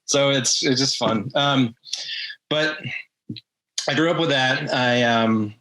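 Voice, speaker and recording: A man's voice: medium pitch, slow pace, bright, forceful tone, poor audio. A man from Atlanta, a craft brewer, telling his background in a casual interview with many ums and restarts. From Atlanta, USA.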